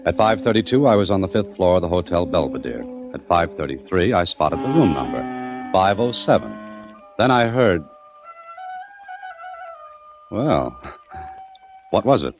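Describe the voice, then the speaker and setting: An actor playing a wry, skeptical, hard-boiled adventurer in an old-time radio drama, with a sardonic, clipped delivery. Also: male voice, low-pitched, big pitch swings, measured pace, pauses often, music in the background, heavily acted. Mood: narrating, brisk. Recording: dull, dry vintage radio recording.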